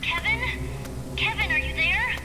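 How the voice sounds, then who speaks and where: A woman voicing an earnest, bossy teenage heroine in an animated video game. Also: very high voice, a little slow, in a large hall, noisy background. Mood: urgent, worried.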